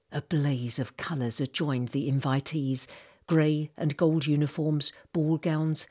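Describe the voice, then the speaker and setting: Low-voiced woman narrating an audiobook. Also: a little mellow, husky. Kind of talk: scripted literary narration.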